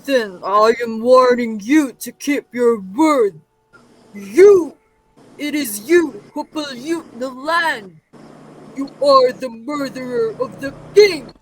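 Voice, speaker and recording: Slow, ominous blind prophet, acted by a student performer. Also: mid-range voice, lightly acted, poor audio. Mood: accusing. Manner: deliberate, ominous. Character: blind prophet, grave, defiant, foreboding. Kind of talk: stage tragedy, readers' theatre.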